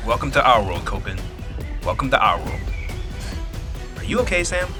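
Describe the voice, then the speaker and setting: A woman's voice: extremely low pitch, sing-song, moderate pace, music in the background. A woman playing an assured soothsayer and parent, matter-of-fact and wry, in a dystopian audio drama. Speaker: voice actor in audio fiction.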